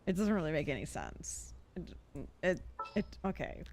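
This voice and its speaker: A woman giving casual gameplay commentary as a streamer, thinking out loud and often second-guessing herself in a giggly way. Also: lowish voice, big pitch swings, moderate pace, slight background noise. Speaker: game streamer. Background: game music and character voice lines.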